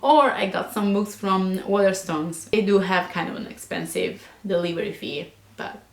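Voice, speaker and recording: A woman's voice: mid-range voice, fairly even intonation. Chatty young woman, echoey room. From Italy. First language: Italian. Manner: chatty and a bit nervous, fillers like "like", quick laughs. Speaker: book vlogger. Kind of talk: casual chat to camera.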